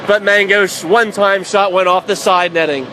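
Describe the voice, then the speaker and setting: A man's voice: high-pitched, fairly even intonation, moderate pace. A man doing live radio play-by-play of ice hockey in clipped, vivid phrases from a noisy arena. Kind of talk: live sports commentary. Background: clear arena background noise.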